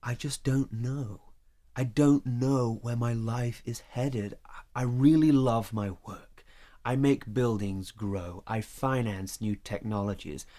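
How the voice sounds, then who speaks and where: Guy acting evasive workaholic boyfriend in an audio play, measured and emphatic, natural delivery. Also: mid-range voice, mellow, natural voice. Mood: earnest, tender. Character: career-driven male lover, evasive and defensive.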